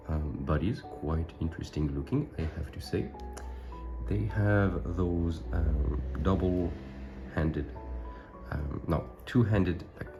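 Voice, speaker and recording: Deep-voiced man, sick. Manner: chatty, thinks aloud with small self-corrections. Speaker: wargaming channel host. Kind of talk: casual unboxing commentary. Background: background music.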